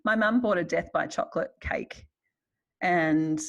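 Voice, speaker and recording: A middle-aged Australian woman with a slightly low voice. She talks candidly and casually, with a wry, self-deprecating streak, as one writer chatting to another. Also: a little slow, vocal fry, lo-fi sound. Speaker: writer in conversation.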